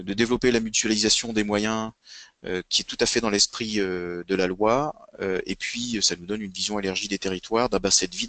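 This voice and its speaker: A man with a fairly low, bright voice speaks as an expert at an online conference. He explains methodically in formal vocabulary, and the sound is close and dry. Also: no room sound. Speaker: guest expert in local social services administration. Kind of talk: explanatory web conference presentation and answers.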